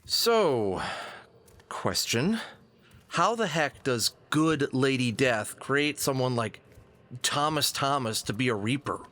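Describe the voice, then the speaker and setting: A man's voice: mid-range voice, animated, takes it slowly, pushed and bright. A man playing a flippant, teasing companion in an audio drama, casual and joking. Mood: teasing, curious.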